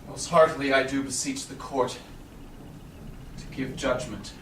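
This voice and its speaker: A man's voice: medium pitch, little pitch movement, measured pace, a bit bright, natural voice. A man playing a resigned, noble merchant in a staged Shakespeare reading, solemn and formal. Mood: earnest. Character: resigned, noble merchant facing death for friendship. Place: small theatre stage, indoors. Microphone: far audience camera. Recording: echoey stage, distant camera, clear noise.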